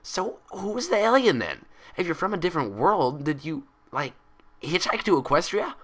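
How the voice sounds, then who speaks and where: A man's voice: a little high, big pitch swings, moderate pace, a bit bright, pitched above the performer's own voice. A man voicing a hyper, cheerful, gullible young female cartoon pony in bubbly, rambling, childlike chatter. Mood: excited, amazed.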